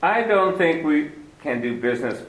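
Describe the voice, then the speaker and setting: A florid, scheming crook full of formal flattery. His pitch swings widely as he purrs and wheedles. The radio play has a roomy echo around him. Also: normal speed. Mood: smooth, scheming. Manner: florid, flattering, overly formal with many sirs. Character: fat genial crook, wordy and scheming, middle-aged man. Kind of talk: 1940s radio acting.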